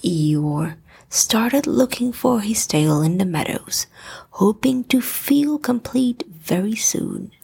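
A woman narrates a bedtime story as a storyteller. Her voice is fairly high and slow, with husky, creaky edges. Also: animated, warm tone.

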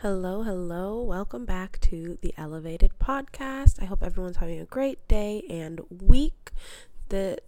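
A woman's voice: fairly low pitch, vocal fry, warm tone, no room sound. A young adult woman hosting a solo podcast as a mindset coach. She has a cold and sounds stuffed up, and her delivery is upbeat and motivational. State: congested with a head cold.

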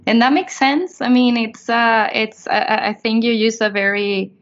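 A woman's voice: a little high, steady tone, normal speed. A woman interviewer asking rambling questions full of likes and restarts. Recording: dull lo-fi sound.

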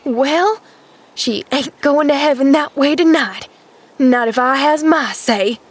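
A woman's voice: sits high, slow pace, voice pushed up. A woman voicing a fretful, loyal young serving maid in an audiobook, with exclamatory, ungrammatical rustic speech. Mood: dogged. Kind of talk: audiobook character voice.

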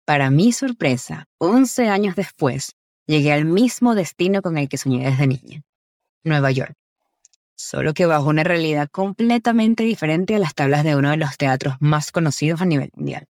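A woman's voice: low-pitched, expressive, normal speed, a little mellow, no echo. Venezuelan woman narrating, dry close sound. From Caracas, Venezuela. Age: 20s. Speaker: podcast host. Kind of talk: scripted personal podcast narration.

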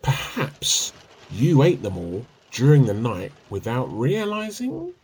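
A husky, evasive, sheepish husband. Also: male voice, medium pitch, huge pitch swings, takes it slowly, a little mellow, full character voice. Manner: hesitant, evasive, drily teasing. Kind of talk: radio play comedy dialogue.

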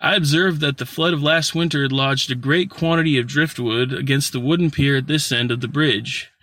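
A gray-clad soldier in an audiobook story, voiced by a male narrator, reporting what he has seen at the front. The voice is bright and forward, lifted a little in pitch, with plain, informative phrasing. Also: fairly even intonation, brisk, no echo, recorded from a distance, lightly acted. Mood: matter-of-fact.

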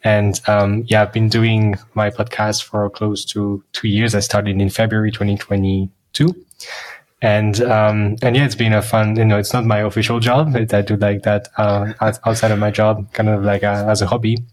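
A man's voice: on the low side, little pitch movement, normal speed, a little mellow. A man with a French accent chatting casually as a podcast co-host, in a loose, conversational way. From France.

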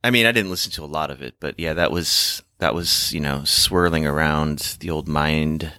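A man hosting a podcast in casual, rambling talk, halting and pausing as he goes, close on the microphone. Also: a bass voice, sharp tone, no echo. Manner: halting, hesitant delivery with long pauses. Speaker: podcast host. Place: remote recording over a video call. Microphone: microphone held close to the mouth.

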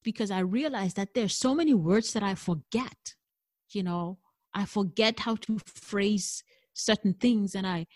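A woman's voice: mid-range voice, unhurried, darkish tone, dry recording. South african woman, english not her first language, chatty and candid about her music, dead dry sound. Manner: thoughtful, candid, laughs easily. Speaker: jazz singer guest. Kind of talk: conversational interview.

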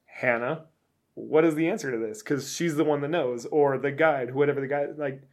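A man's voice: medium pitch, moderate pace. Rambling, self-mocking man podcast host. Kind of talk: casual chat.

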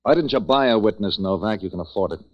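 Man playing gruff American cop, very low voice, sarcastic, accusing, old hardboiled radio drama, muffled sound. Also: expressive, quick pace, close to an everyday voice. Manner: needling, sardonic. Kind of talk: 1940s hardboiled radio acting. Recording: muffled lo-fi 1940s radio recording.

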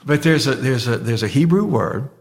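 A man in easy podcast conversation, mid-pitched and unhurried. He talks in loose, rambling bursts, restarting his sentences and letting them fade out quietly. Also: expressive, gravelly. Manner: rambling, restarts, trails off softly. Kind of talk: casual conversational chat.

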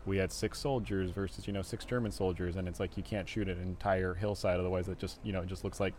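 A man, a film director, answering interview questions in a relaxed, rambling way full of fillers, recorded live at a festival venue. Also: sits low, fast, slight background noise.

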